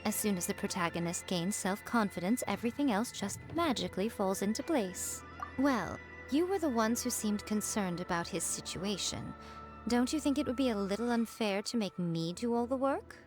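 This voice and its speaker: Casting: woman as playful, haughty shrine priestess for a game, mid-pitched, smugly teasing and unhurried, music underneath. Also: lively intonation, lightly acted. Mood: coaxing, smug. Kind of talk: animated game voice acting. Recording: music behind the voice.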